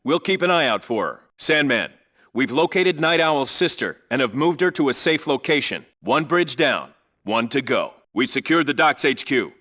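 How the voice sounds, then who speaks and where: Military squad leader, video game call-outs, forceful bright tone, mid pitch, clipped, commanding, no-nonsense. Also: male voice, expressive, normal speed. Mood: focused, businesslike.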